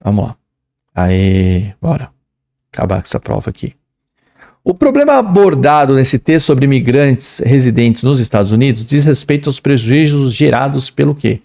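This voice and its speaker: A man's voice: mid-range voice, expressive, moderate pace, gravelly, mellow. A young adult man with a São Paulo accent teaching an English exam lesson, chatty and slangy, reading English lines and translating them. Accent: São Paulo Portuguese. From São Paulo, Brazil.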